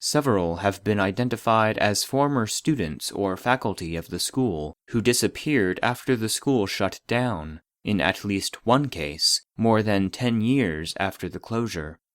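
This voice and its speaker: A man voicing an impersonal database, reading formal classified reports in a measured, clinical manner. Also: on the low side, expressive, dry recording, clean studio sound. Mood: clinical, detached. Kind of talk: scripted narration of a formal report.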